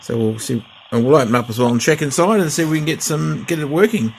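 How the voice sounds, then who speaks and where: A man's voice: fairly high pitch, quick pace, vocal fry, slight background noise. A middle-aged man chatting casually through a radio unboxing and repair, rambling with fillers and restarts. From Australia. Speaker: hobbyist vlogger.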